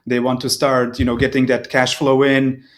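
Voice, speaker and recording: A man's voice: mid-range voice, flat delivery, normal speed. Man in his thirties. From Serbia. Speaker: interview guest, proptech company representative. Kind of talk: conversational interview answers.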